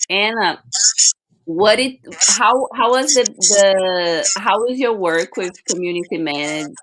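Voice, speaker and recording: A woman hosting an online panel, introducing guests and asking questions haltingly, often restarting her sentences. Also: mid-range voice, fairly bright tone, rough recording. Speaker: host, moderator. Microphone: video call.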